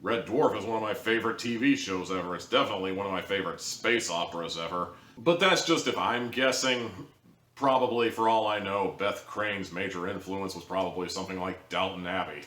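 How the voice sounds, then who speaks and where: A man's voice: low voice, fairly quick, fairly bright tone. A man giving a casual review monologue in a rambling, hedging, conversational way. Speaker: audio drama reviewer. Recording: roomy echo, distant from the mic.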